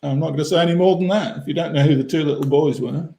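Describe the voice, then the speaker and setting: A man's voice: fairly high pitch, fast talker, vocal fry, warm tone. A man co-hosting a casual online quiz, reading questions and chiming in with dry asides. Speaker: quiz co-host. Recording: echoey room.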